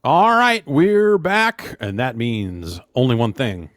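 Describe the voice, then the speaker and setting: A man's voice: mid-range voice, wildly swooping pitch, slow, a bit bright, no room sound, studio quality. A man hosting a podcast in casual chat, rambling loosely with plenty of fillers and restarts. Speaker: podcast host. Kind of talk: casual chat, reading listener emails.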